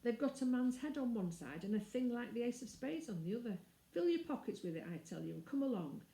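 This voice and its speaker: Storybook schoolboy acted by a woman: eager, bossy, higher-pitched, echoey room. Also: expressive, vocal fry, dark tone. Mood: bossy, impatient. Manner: eager, brisk, impatient. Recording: roomy indoor echo.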